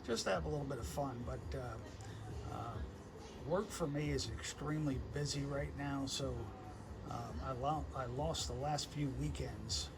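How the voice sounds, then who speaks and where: A man with a medium-pitched voice talks casually as a ham radio operator. He is easygoing and halting in his delivery. The recording is made outdoors on a porch, with noticeable noise around him. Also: vocal fry. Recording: noisy outdoor recording with ambience.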